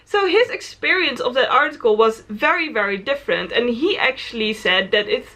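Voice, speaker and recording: A woman's voice: on the high side, animated, moderate pace, pushed and bright. A Dutch woman in her twenties, a native Dutch speaker, talking thoughtfully and earnestly about her own experiences in a personal vlog. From Netherlands. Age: 20s. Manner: thoughtful, earnest, self-correcting. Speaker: travel vlogger. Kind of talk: reflective personal vlog talk.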